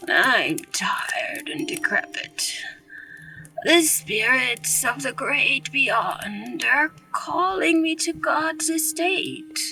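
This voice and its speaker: A woman's voice: high voice, lively intonation, extremely slow, creaky, bright, forceful tone, recorded from a distance, soft background music, exaggerated voice, rough recording. A woman playing a weary, dying old woman with solemn, archaic diction in a ghost story audio drama.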